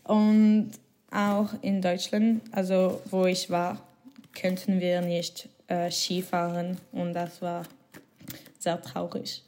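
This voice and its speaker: A young woman from Switzerland answering interview questions in hesitant learner's German with many pauses and small laughs. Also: lowish voice, completely flat delivery, darkish tone. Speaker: guest, former exchange student.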